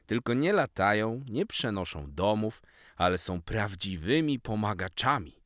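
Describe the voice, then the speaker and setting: Man reading as an elderly grandmother, patient, affectionate, expressive intonation, close dry sound, storybook. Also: fairly low pitch, unhurried, no echo. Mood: reassuring.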